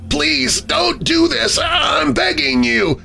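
A man voicing an older farmer, gruff and frightened, stammering and growling through his pain in a horror story. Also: high-pitched, big pitch swings, moderate pace, bright, forceful tone, soft background music, voice pushed up, full character voice. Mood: desperate pleading. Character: agonized older rural farmer father, gruff, frightened, angry.

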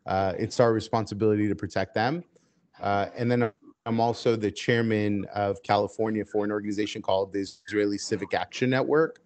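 A man who grew up in the United States speaking Hebrew first, answering interview questions at length in a measured, steady way with frequent "uh" fillers. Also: lowish voice. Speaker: interview guest.

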